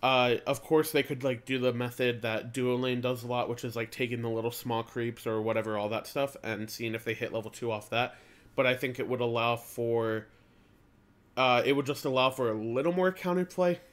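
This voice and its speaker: A man's voice: mid-range voice, fairly even intonation, moderate pace. Young male gaming host, nasal from allergies, casual and slangy, reads as he talks. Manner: loose, slangy, talks while reading text. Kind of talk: casual patch-notes commentary.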